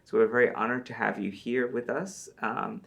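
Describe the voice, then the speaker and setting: A man's voice: mid-range voice, steady tone, normal speed, gravelly, fairly bright tone. A man hosting a university podcast, introducing his guest in a hesitant way with many fillers. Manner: hesitant, with many ums and uhs. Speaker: podcast host. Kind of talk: interview host introduction.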